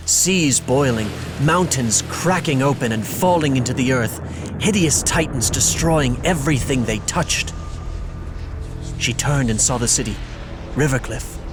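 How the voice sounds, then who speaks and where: A man narrating a dramatic fantasy story in a measured, solemn manner. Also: medium pitch. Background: music.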